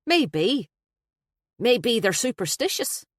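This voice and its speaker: A woman's voice: on the high side, sing-song, takes it slowly, dry recording, clean studio sound, voice pushed up, exaggerated voice. A woman voicing a wry, playful, sceptical woman friend in an audiobook story. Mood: musing.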